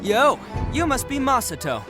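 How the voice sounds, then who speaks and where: Lively, brash young oni. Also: male voice, very high voice, normal speed, over music. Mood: casual, confident. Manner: colloquial, swaggering, earnest. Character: brash, big-hearted young male oni gang leader. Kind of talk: video game voice acting.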